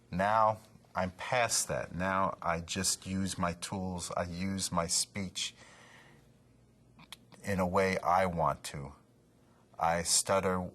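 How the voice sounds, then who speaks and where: Deep-voiced guy in his 50s, stutters a lot, repeats words and gets stuck, interview talk. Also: a bit bright. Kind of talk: personal interview.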